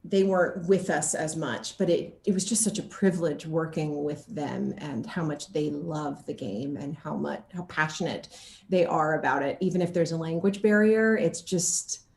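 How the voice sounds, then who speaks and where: A woman's voice: deep voice, moderate pace, vocal fry, a little mellow, echoey room, a little hiss or hum. A woman chatting casually as an interview guest, gushing and emphatic in her enthusiasm.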